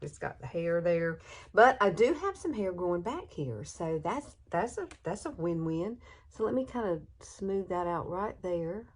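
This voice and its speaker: A woman in her sixties with a Southern US accent, chatting folksily through a makeup tutorial, filmed on a phone in her bedroom. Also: fairly low pitch. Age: 60s. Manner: chatty, folksy, laughs often. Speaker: beauty vlogger. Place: bedroom at home. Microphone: phone camera, no external mic.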